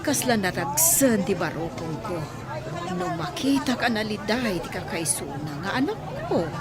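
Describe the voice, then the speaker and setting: A woman's voice: medium pitch, big pitch swings, moderate pace, a loud crowd, close to an everyday voice. A woman playing a worried, doting village mother in radio drama, with an Ilocano accent.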